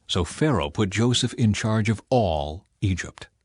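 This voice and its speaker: A man's voice: lowish voice, animated, a little slow, breathy edge, no echo. Man narrating scripture, very dry close sound. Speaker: audiobook narrator.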